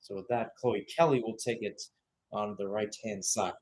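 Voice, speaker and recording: A man's voice: on the low side, fairly even intonation, moderate pace, darkish tone, a little hiss or hum. A young man giving live radio-style soccer play-by-play in short clipped phrases, with asides and self-corrections. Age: young adult. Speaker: play-by-play sports commentator.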